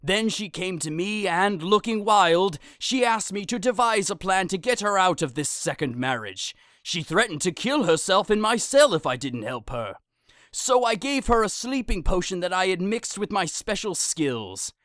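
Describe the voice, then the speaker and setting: Actor playing an anguished high-voiced elderly friar. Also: male voice, very animated, normal speed, a bit bright, no echo, clean studio sound. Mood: solemn, remorseful. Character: anguished elderly friar confessing his guilt. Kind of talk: modernized Shakespeare audio drama acting.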